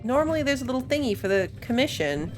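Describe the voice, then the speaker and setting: A woman's voice: high voice, big pitch swings, fast talker, music in the background. A woman giving casual, chatty playthrough commentary as she plays a video game, thinking aloud as she goes. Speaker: gaming video host.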